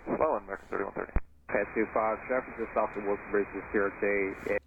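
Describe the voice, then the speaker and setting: Over a dull, noisy aviation radio comes a man talking very fast in a lowish voice. He delivers clipped, procedural air traffic calls. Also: steady tone, vocal fry.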